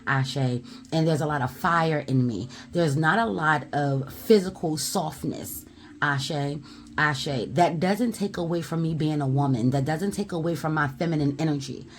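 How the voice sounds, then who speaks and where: Loud, blunt low-voiced woman. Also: a little slow, slight background noise. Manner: emphatic, repeats "ashe" as affirmation; forceful, blunt, swears. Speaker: tarot reader. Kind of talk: spiritual message to viewers.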